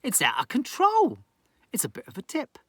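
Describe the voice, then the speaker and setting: A man's voice: very high-pitched, wildly swooping pitch, normal speed, pushed and bright, breathy edge, no room sound, voice pushed up, exaggerated voice. A man voicing a bossy, fed-up pirate's wife in a children's story, declaiming insistently. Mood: fed up, demanding.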